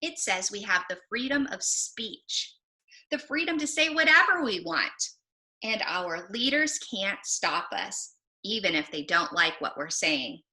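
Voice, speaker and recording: Bright, animated woman presenting books for children. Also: medium pitch, unhurried. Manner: expressive, explanatory, posing questions. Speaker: library presenter. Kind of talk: book talk for children.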